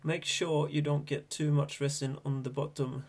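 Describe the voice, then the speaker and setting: A man in his thirties with a Swedish accent, a Swedish native speaker explaining fly tying in a relaxed, hesitant way from a small home workshop. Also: a little high, normal speed, noisy background. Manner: relaxed, hesitant, thinking aloud. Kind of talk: casual tutorial narration.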